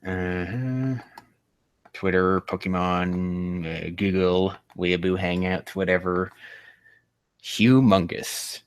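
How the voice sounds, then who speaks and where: A man hosting a casual livestream, rambling and haltingly muttering to himself, with loose, crude language. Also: low voice, fairly even intonation, smooth, no room sound. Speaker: livestream host.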